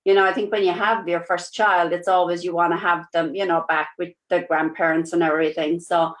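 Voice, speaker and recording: A woman's voice: on the low side, little pitch movement, fairly quick, low-quality recording. A woman in her sixties with an Irish accent, telling her life story as a guest on a live video call, chatty and rambling with lots of "you know"s. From Ireland. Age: 60s. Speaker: interview guest, nurse. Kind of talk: casual life-story chat. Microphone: live-streamed video call.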